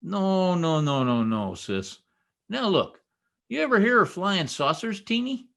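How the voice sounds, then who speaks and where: A man as a self-important husband, folksy, bumbling, animated pitch, old radio comedy. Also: a little slow, vocal fry, exaggerated voice. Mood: boastful. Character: blustering, long-winded, self-important husband, a tall-tale teller. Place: at home on a video call.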